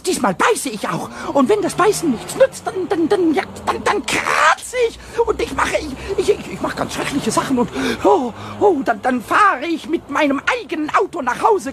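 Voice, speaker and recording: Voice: very high-pitched, big pitch swings, moderate pace, few pauses, exaggerated voice. An actor voicing a small, childlike, hot-tempered kobold in a children's radio play, stammering and repeating words. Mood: furious boasting. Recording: faint cassette noise.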